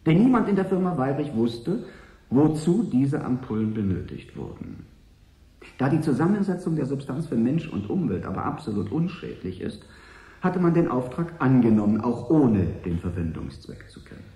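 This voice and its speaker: An actor playing a smooth, polite but ruthless consortium agent in a radio drama, articulate, wry and persuasive. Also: male voice, mid-range voice, very animated, moderate pace, warm tone, reverberant, natural voice. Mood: smooth, businesslike. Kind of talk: 1980s German radio drama acting.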